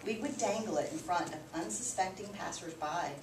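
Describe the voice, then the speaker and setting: A woman reading a picture book aloud to children like a teacher, with expressive, emphatic storytelling. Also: lowish voice, normal speed. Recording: roomy, distant mic, clearly noisy background.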